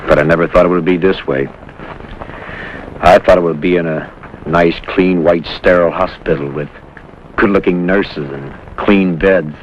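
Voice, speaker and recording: A man's voice: sits low, steady tone, moderate pace, close to an everyday voice. An actor playing an earnest, reflective American Navy corpsman in a 1950s war drama on television. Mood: pensive, earnest. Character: earnest, reflective young male Navy corpsman before battle. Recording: muffled 1950s TV soundtrack, faint noise.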